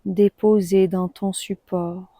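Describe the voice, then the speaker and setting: A woman leads a guided relaxation with a soft, mellow tone. She speaks very slowly and calmly, leaving long pauses between phrases. The recording is close and dry. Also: medium pitch, dry recording. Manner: calm, soothing, unhurried with long pauses. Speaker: yoga nidra guide.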